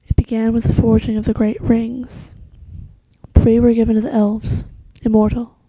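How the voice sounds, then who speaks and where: A woman playing a solemn, wise elf queen who narrates a fantasy monologue in a hushed, deliberate way, with a soft voice that slips toward a whisper. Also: on the high side, level tone, noisy background, lightly acted. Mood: solemn, foreboding. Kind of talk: slow dramatic fantasy monologue.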